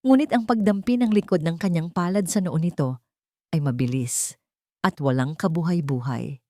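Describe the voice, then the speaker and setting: A woman tells a dramatic story in a low, dark-toned voice. She reads as a storyteller from a script, and the sound is close and very dry. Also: moderate pace, no echo.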